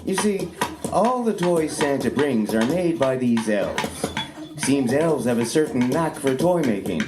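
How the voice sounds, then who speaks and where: A man's voice: mid-range voice, lively intonation, measured pace, lightly acted. A man playing a folksy storyteller narrating a Christmas radio play. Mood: wry, cozy. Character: folksy storyteller of Santa's elves. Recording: noisy live stage recording.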